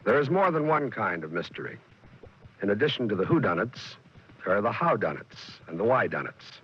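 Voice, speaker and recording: A man's voice: lowish voice, sing-song, a little fast, a bit bright, slightly rough, soft background music. A man hosting a 1940s radio drama, giving a wry, folksy scripted introduction on a dull old broadcast recording.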